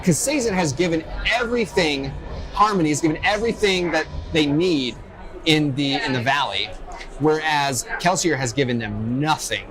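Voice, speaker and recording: A man's voice: fairly high pitch, normal speed. A man co-hosting a casual fan discussion, speaking in an opinionated, enthusiastic way, recorded at a noisy convention. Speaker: podcast co-host. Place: loud festival venue. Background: loud music.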